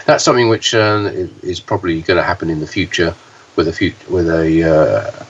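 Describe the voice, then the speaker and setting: A man co-hosting a podcast, talking with a hoarse voice and halting, with frequent fillers and restarts. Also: deep voice. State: hoarse, deeper voice than usual. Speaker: podcast co-host, pinball news reporter. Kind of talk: casual podcast chat. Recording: dull, slightly distant, faint hiss.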